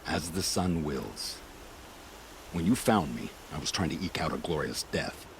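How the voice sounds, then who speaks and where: A solemn, honour-bound soldier in a game cinematic. His voice is extremely low and gravelly, his speech formal, with faint ambience behind. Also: expressive, a little slow, a little hiss or hum, exaggerated voice, poor audio. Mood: solemn, humble. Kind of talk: video game cinematic dialogue.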